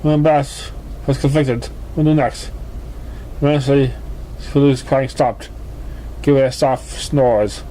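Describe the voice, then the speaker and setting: Halting male story reader with background noise. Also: medium pitch, steady tone, dark tone, poor audio. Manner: halting reading, stumbles over words. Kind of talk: scripted fan fiction narration.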